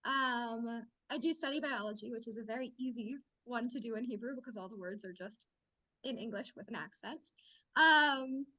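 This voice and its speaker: A woman's voice: fairly high pitch, moderate pace, sharp tone, rough recording. A young woman giving casual answers as a student panelist over a video call. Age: young adult.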